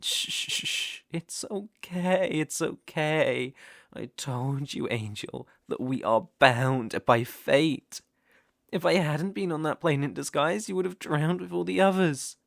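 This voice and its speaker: A British man playing an obsessive, softly possessive stalker in an intimate ASMR roleplay, hushed and coaxing. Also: a little high, slow pace, vocal fry, no echo, studio quality, exaggerated voice. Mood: soothing, possessive.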